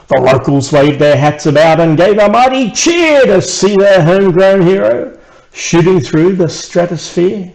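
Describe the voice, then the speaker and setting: Australian man reading a rhyming storybook, highish voice. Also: a little mellow, poor audio. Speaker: author reading own children's book. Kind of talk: rhymed storybook narration.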